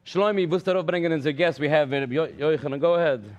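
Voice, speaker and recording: A man's voice: fairly high pitch, quick pace, dull audio. A man with a Yiddish accent, Yiddish his first language, hosting live election coverage in casual English sprinkled with Yiddish words. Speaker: election night host.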